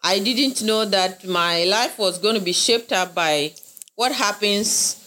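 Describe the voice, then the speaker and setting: Woman from Ghana, interview guest, earnest and bright, circles back on her points. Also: medium pitch, slow pace, low-quality recording. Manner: earnest, repeats phrases, laughs often. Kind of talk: interview conversation.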